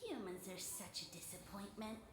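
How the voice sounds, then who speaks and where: A woman's voice: mid-range voice, normal speed, natural voice. A woman voicing a pompous, condescending talking mushroom lord who scorns humans in an anime game. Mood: scornful. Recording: echoing voice over noisy game ambience.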